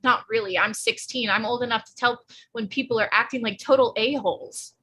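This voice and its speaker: A woman's voice: on the high side, fairly quick, bright, forceful tone, a little hiss or hum. A woman playing a blunt, slangy, sarcastic sixteen-year-old pregnant girl in a live screenplay read, earnest underneath. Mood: pleading, indignant. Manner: slangy, flippant, emphatic.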